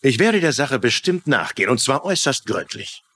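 A man's voice: medium pitch, very animated, a little fast, pushed and bright, slight background noise. An actor playing a stern, suspicious male police commissioner in a TV crime drama, formal and admonishing. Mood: warning. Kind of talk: German-dubbed TV crime drama acting.

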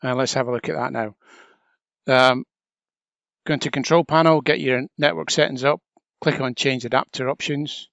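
A man narrating a software tutorial step by step in an informal, chatty, explanatory way. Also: medium pitch, fairly even intonation, moderate pace, vocal fry, long pauses, no room sound, dull audio. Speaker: trainer.

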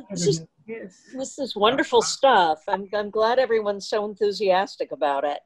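A woman's voice: mid-range voice, slightly rough, no room sound. An older woman chatting casually on a video call as she helps run an online meeting. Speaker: meeting organizer.

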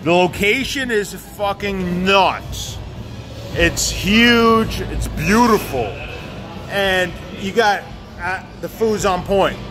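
Brash New York vlogger, high voice. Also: male voice, slow pace. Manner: brash, joking, crude. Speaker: vlog host. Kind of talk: casual joking interview. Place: large busy restaurant indoors. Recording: loud background music.

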